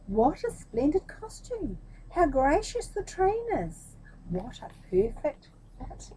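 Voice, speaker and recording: Storybook flatterers voiced by a woman: high, gushing, drawn-out admiration, very animated pitch. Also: a little hiss or hum, exaggerated voice, low-quality recording. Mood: excited admiration. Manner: exclamatory, gushing, drawn-out praise. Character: crowd of gushing admiring courtiers and townspeople.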